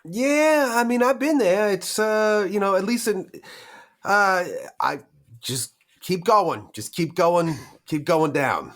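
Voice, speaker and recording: A man playing a nervous, hesitant, self-deprecating vampire informant with halting, folksy streetwise talk in a roleplaying game. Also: high-pitched, animated, a bit bright, pitched above the performer's own voice, exaggerated voice. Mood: nervous, evasive. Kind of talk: improvised in-character roleplay.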